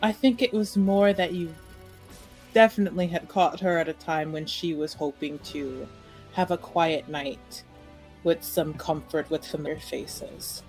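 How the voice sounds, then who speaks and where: A woman voicing a gracious, tactful noblewoman with a courteous, measured way of speaking. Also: sits low, fairly even intonation, darkish tone, faint music behind, poor audio.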